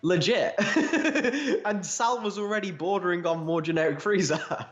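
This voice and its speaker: A man's voice: high-pitched, lively intonation, measured pace. A man co-hosting a casual fan podcast, giving emphatic opinions and swearing casually. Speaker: podcast host. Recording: roomy echo, dull lo-fi sound.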